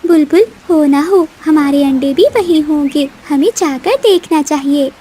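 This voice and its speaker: Woman as a gentle, high-pitched mother bird. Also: a little slow. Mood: urgent. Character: gentle, worried little mother bird in a cartoon. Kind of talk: Hindi children's cartoon voice acting.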